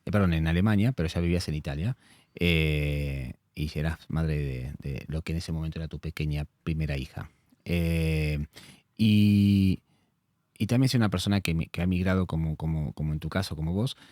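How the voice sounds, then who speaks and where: Man, podcast host, very low voice, dark tone, dry close mic. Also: normal speed, dry recording. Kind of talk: conversational interview.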